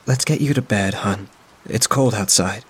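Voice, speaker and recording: A man voicing a caring middle-aged woman, a friend of the family, speaking in a gentle, soothing, concerned way. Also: lowish voice, normal speed, breathy edge.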